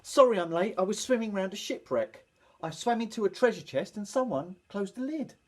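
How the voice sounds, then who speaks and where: A man voicing a small, excitable boy fish who earnestly tells tall tales in a storybook. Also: sits high, fairly quick, pitched above the performer's own voice. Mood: excited, apologetic.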